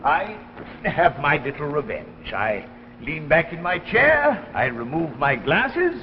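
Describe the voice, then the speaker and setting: A smug, eccentric old professor in a vintage radio play. His highish voice swoops widely and pompously through a dull, reverberant old recording. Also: sing-song, slow pace, a little hiss or hum, full character voice. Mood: gloating. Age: older. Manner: pompous, theatrical, savoring phrases. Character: retired physics professor, older man, smug eccentric inventor. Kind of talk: 1950s radio drama acting.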